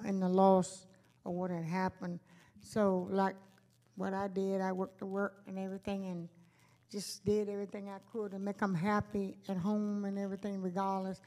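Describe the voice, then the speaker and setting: A woman's voice: mid-range voice, level tone, normal speed, mellow. An older woman, a grandmother, answering a question for an audience in plain, unrehearsed words. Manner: plain, unpolished, a bit hesitant. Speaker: speaker's grandmother.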